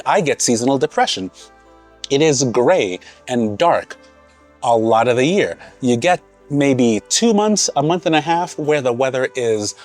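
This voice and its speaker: A man in his thirties, born in Haiti and raised in Massachusetts, talking to camera in an earnest, conversational, unhurried way. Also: medium pitch, a little mellow. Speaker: vlogger. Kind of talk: casual reflective talk to camera.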